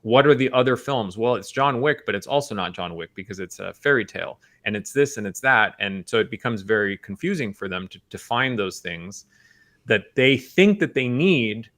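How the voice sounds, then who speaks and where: A man's voice: fairly low pitch, expressive, moderate pace, a bit bright. An American man on a film talk show, reasoning analytically through his points in casual conversation.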